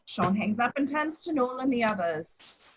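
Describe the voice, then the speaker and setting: A woman narrates script directions at a casual table read. Her voice is fairly high with a husky edge, and the reading is plain. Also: lively intonation, moderate pace. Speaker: action-description reader.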